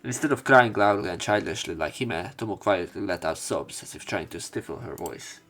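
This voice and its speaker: A man with a fairly low voice plays through a visual novel. He reads the story text aloud and mixes in casual commentary of his own. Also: moderate pace, a bit bright. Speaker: let's player.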